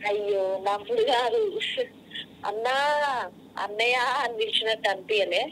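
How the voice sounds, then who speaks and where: A woman's voice: mid-range voice, sing-song, normal speed, fairly bright tone, a little hiss or hum. A woman with a Telangana Telugu accent chatting casually on a phone call played over a speaker. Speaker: guest's wife on a phone call.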